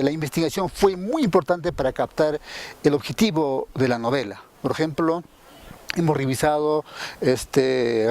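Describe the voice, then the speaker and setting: A man's voice: fairly high pitch, darkish tone, slight background noise. A man explaining how he writes, as a scriptwriter, searching for his words and often checking with a short tag question. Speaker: radio drama scriptwriter. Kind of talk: explaining his creative process.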